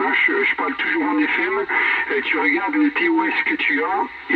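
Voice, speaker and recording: A man chatting casually as a radio operator over a two-way radio, explaining haltingly and repeating himself. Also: high-pitched, vocal fry. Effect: heard over two-way radio. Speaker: amateur CB radio operator, channel owner. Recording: muffled, very noisy CB radio transmission.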